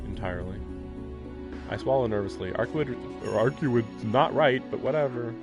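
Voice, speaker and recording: A male streamer reads a visual novel aloud and rambles through his reactions, casual and a bit profane. His voice sits at an ordinary middle pitch. Game music plays clearly behind him. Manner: rambling, casual, swears, quick laughs.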